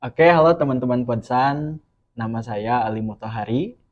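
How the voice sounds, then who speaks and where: A young man from West Java, explaining earnestly and at a measured pace as a student guest on a podcast. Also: mid-range voice, highs cut off. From West Java, Indonesia. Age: young adult. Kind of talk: podcast interview.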